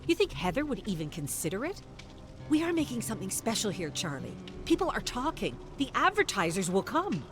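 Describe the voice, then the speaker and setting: Narrator doing driven female talk show host, high lively delivery, game music behind. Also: a little fast. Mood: impassioned.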